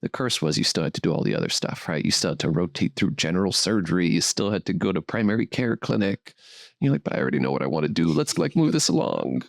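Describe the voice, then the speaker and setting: A man in his thirties with a low-pitched voice recorded dry and close. He tells his story as a podcast guest, upbeat and chatty, poking fun at himself. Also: sing-song, fast talker, vocal fry, dry recording. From born in Brazil, raised in Miami. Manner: chatty, upbeat storyteller with self-deprecating humor. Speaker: fertility doctor, guest. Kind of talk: relaxed podcast interview.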